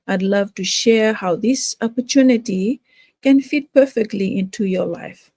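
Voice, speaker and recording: A woman's voice: mid-range voice, dark tone, dry recording. An older woman from Fiji talking casually to her viewers about her home business, hesitant, with many fillers and restarts. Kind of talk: casual talk to camera.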